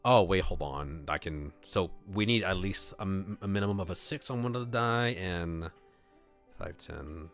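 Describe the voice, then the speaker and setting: A man with a low voice streams a visual novel read-along, with music playing clearly behind him. He moves between storybook-style narration and casual chat, often thinking out loud. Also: normal speed. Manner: thinks aloud in casual asides. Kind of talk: casual livestream chat mixed with scripted narration. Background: clear background music.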